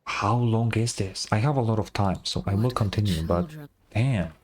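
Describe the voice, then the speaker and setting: A man's voice: lowish voice, moderate pace. A man giving casual, informal gameplay commentary, reacting aloud as he plays. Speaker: gaming streamer.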